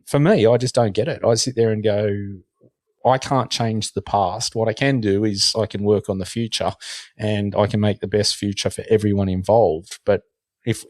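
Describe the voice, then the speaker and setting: A man's voice: fairly low pitch, no room sound. Earnest middle-aged Australian man, dry. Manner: earnest, repeats words when starting sentences. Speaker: podcast guest. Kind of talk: casual podcast discussion.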